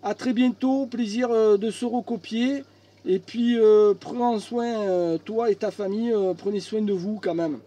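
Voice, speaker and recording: A man's voice: high voice, measured pace. A man, a friendly and chatty amateur radio operator having a casual contact. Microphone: studio microphone at the radio. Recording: clear steady background noise.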